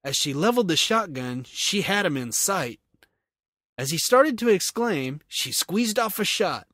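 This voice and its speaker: Animated man hosting a podcast, dry close sound. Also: high voice, a little slow, dry recording. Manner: jokey, self-deprecating. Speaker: podcast host. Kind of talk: casual solo podcast.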